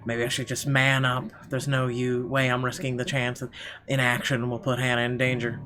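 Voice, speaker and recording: A man's voice: mid-range voice, completely flat delivery, normal speed. A man reading visual novel narration aloud in a theatrical way, now and then stumbling over words. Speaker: gaming video host. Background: game music.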